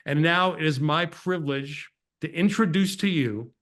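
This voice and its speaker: A man's voice: a little high, normal speed, fairly bright tone. A man delivering a prepared speech as host, formal and earnest, introducing a speaker.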